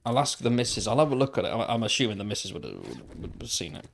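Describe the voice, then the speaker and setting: A man's voice: fairly low pitch, huge pitch swings, fast talker, creaky, a little hiss or hum. Man musing aloud while gaming. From Wales. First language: Welsh. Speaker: gaming livestreamer. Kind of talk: casual chat while playing.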